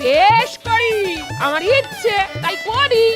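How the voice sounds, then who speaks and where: A spiteful, gloating old grandmother in a cartoon, played by a woman, shrill and taunting. She drags her words out slowly in a very high, swinging voice, with music behind. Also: big pitch swings, exaggerated voice. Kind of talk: cartoon voice acting.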